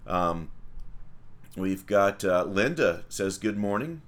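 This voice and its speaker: A man's voice: deep voice, animated, takes it slowly. A man, a priest leading livestreamed morning prayer and chatting in a folksy way with viewers.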